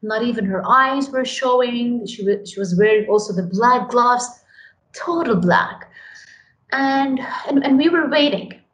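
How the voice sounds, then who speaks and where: A woman's voice: on the high side, lively intonation, a little slow. A woman from Lebanon, earnestly telling her story in a podcast interview and often repeating words as she starts a sentence. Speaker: radio program director. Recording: echoey room, faint noise.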